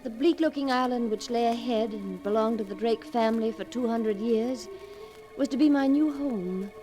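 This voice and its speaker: An actress playing an earnest, anxious young heroine in a 1940s radio drama, with dramatic delivery. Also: female voice, on the high side, normal speed, mellow, soft background music, natural voice, poor audio. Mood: wistful, foreboding.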